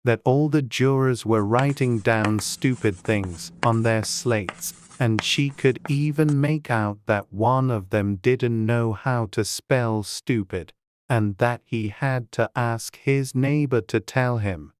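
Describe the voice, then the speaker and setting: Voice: medium pitch, takes it slowly, no room sound, studio quality. An audiobook narrator reading a scripted storybook.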